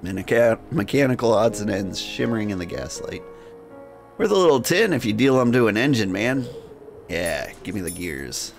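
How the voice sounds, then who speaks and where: A man hosting a game livestream, switching between jokey, rambling chat and reading game text aloud in a gravelly voice. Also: fairly low pitch, very animated, normal speed. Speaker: game streamer and host. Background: music.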